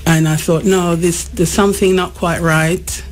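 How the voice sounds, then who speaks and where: A woman's voice: lowish voice, fairly even intonation, unhurried, soft background music. A woman, born in Jamaica, talking reflectively as a studio guest on a radio show. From born in Jamaica, raised in England. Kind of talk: conversational interview.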